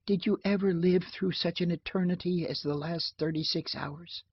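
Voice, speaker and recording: A man's voice: high voice, fairly even intonation, normal speed, husky, pitched above the performer's own voice. A man reading an audiobook, voicing a tired, sad young woman who speaks wearily and turns indignant. The recording is dry and slightly dull. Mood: weary.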